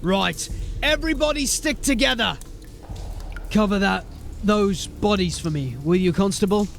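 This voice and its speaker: High-pitched commanding watch sergeant. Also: male voice, unhurried, gravelly, soft background music. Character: gruff, commanding male watch sergeant in fantasy city. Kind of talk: fantasy audio drama acting.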